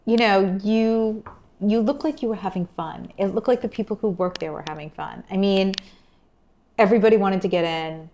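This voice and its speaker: A woman hosts a conversational interview, drawing her guest out with questions and frequent mm-hmms. Her voice sits at an ordinary pitch for a woman, and she talks in an engaged, chatty way. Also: moderate pace, dark tone, a little hiss or hum. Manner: engaged, prompting, frequent backchannel. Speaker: podcast host.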